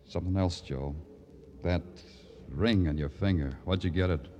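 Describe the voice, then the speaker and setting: An American actor playing a dry, wry police lieutenant in a 1950s radio crime drama, with clipped, sardonic, deadpan delivery. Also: male voice, sits low, normal speed, highs cut off, lightly acted. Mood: cool, pressing. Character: dry, wry male New York police lieutenant narrator. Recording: vintage radio recording with music behind.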